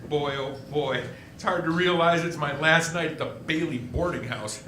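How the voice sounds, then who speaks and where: A man playing an eager, restless young dreamer in a live radio play, boyish, enthusiastic and a little halting. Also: a little high, natural voice. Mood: excited. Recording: echoey room, faint noise.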